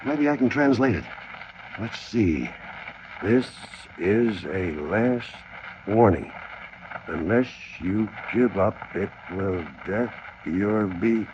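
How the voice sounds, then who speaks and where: A gallant, protective man of action in an old radio adventure serial. He gives orders and reassurance urgently, with very lively intonation. The vintage recording is dull and heavy with noise. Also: fairly low pitch, slow. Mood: slow, puzzling out. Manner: urgent, reassuring, take-charge delivery. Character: Bill, brave protective adventurer, grown man. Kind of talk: 1940s radio serial acting.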